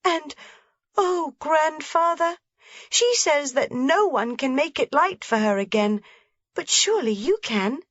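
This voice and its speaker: A woman's voice: high-pitched, animated, takes it slowly, fairly bright tone, no echo, pitched above the performer's own voice, heavily acted. A woman voicing an eager, pleading little girl in a storybook reading. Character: bright excited little girl. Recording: dry, slightly dull recording.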